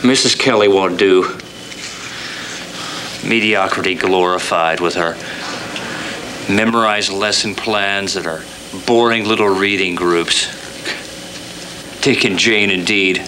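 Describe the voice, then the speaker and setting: An actor playing a frustrated, bitter writer in a radio-play drama, cynical and declamatory, on a lo-fi analog recording. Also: male voice, deep voice, takes it slowly, pauses often, bright, forceful tone, heavily acted. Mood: exasperated, scornful. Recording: very noisy lo-fi analog film transfer.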